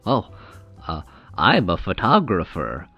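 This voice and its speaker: Man playing a slow-talking, awkward, creepy superfan. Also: sits low, wildly swooping pitch, slightly rough, no echo, dull audio, faint music behind, exaggerated voice. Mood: eager, infatuated. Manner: hesitant, stammering, eager, awkward. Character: creepy, adoring, nervous male superfan. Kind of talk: comedic audio drama acting.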